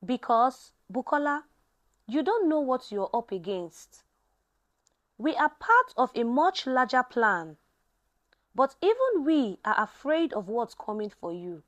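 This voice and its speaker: A woman's voice: high voice, very animated, slow pace, a bit bright, exaggerated voice. A woman voicing a cold, menacing, haggard man who speaks ominously in a story. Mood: grim warning.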